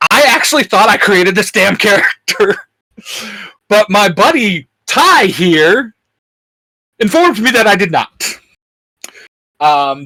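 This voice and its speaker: A man with a bright, fairly high voice and very lively intonation chats as a geek-show co-host. He is enthusiastic but halting, repeating words as he goes, and the sound is close and dry. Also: dry recording. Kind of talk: casual fan chat.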